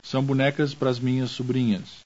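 Man reading short drill cues flatly. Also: medium pitch, brisk. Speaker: drill cue speaker. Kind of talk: scripted language drill. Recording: dull lo-fi course tape.

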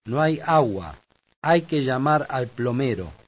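Man, mid pitch, course-tape narrator, muffled lo-fi sound. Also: expressive. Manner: slow, clear read-aloud delivery. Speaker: language course speaker. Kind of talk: scripted language lesson reading. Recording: dull lo-fi tape audio.

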